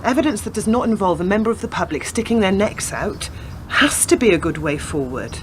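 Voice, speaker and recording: A woman's voice: mid-range voice, expressive, a little fast, natural voice. A woman playing an earnest, stubborn young policewoman in a radio play, speaking persuasively. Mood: earnest, insistent. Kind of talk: scripted radio play acting. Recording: community radio drama with clear background noise.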